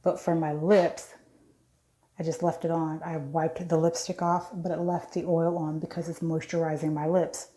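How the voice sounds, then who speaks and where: A woman nearing fifty talks through her face-cleansing routine in a low, mellow voice. She speaks evenly without much rise and fall, chatty and frank, stopping to correct herself mid-sentence. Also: level tone, normal speed. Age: 40s. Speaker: beauty vlogger. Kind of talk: casual skincare walkthrough.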